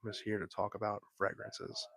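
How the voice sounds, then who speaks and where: Young male host, hushed whisper close on mic, breathy with gravel, relaxed talker. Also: on the low side, moderate pace. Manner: soft whispering, laid-back and chatty. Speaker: livestream host. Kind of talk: whispered late-night livestream chat. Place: at home, lying in bed. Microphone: close microphone.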